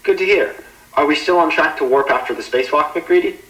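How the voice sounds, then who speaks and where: A man's voice: on the high side, fairly quick, fairly bright tone, lightly acted. A man playing a courteous, formal spacecraft captain in an analog horror drama, commanding yet encouraging, in a roomy echo. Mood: businesslike. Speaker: ship's captain.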